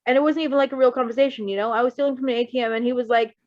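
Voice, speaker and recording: A woman's voice: on the high side, steady tone, rapid-fire, voice pushed up, natural voice. Woman doing anxious young villain guy from a superhero story, nervous rambling, self deprecating, dull mic. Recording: dull lo-fi microphone.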